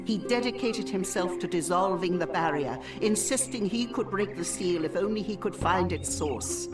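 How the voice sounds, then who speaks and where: A woman playing a wistful, older-looking healer in the swamps, with formal, old-fashioned, measured phrasing, in video game dialogue. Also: lowish voice, lively intonation, distant mic, over music, natural voice. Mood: wistful, reflective.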